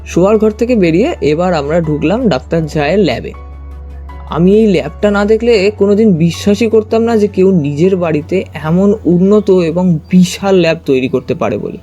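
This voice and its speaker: A man's voice: high voice, dark tone, husky, highs cut off. A man narrating a story dramatically in the first person.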